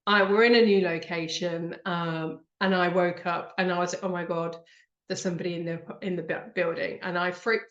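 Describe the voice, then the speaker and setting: A woman's voice: on the low side, fairly bright tone, echoey room, lo-fi sound. A woman hosting an online talk, congested with a blocked nose, chatty and earnest with frequent ums. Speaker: host. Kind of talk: live online talk with slides.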